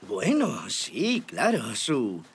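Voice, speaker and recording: An actor voicing a patient, encouraging zebra father in a children's cartoon, calm and instructive, with music behind. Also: mid-range voice, extremely slow, slight background noise, exaggerated voice. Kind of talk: children's cartoon dub acting.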